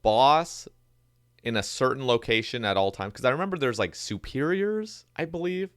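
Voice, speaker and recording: A man's voice: medium pitch, lively intonation, moderate pace, no room sound, clean studio sound. A young man hosting a podcast, chatting casually and reading out listener questions. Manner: easygoing, reads listener questions. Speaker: podcast host.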